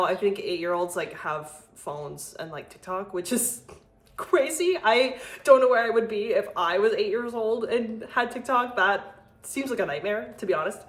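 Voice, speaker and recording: A woman's voice: mid-range voice, echoey room. A young adult woman with an American accent, chatting casually and informally as she hosts a video, peppering her talk with "like". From United States. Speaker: video host reading listener messages. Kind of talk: casual chat to camera.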